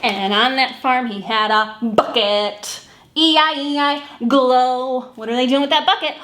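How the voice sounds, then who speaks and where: A woman hosting a children's story time, reading and singing picture books in an animated way and acting out the sounds. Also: high voice, unhurried, echoey room. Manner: animated, acts out animal sounds and song refrains. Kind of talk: sung picture-book read-along for children.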